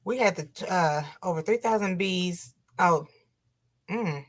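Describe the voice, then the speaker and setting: A woman with a raspy voice hosting a casual interview, curious and quick to laugh as she reads out viewer questions. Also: fairly low pitch. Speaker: host.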